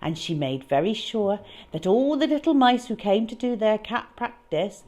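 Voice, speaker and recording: A woman storyteller reading aloud to children in a dramatic, expressive way, leaning on key words. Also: medium pitch, moderate pace.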